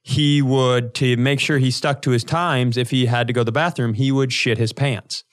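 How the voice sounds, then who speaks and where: A man co-hosting a comedy podcast, telling animated, casual stories and acting out the dialogue. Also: medium pitch, moderate pace.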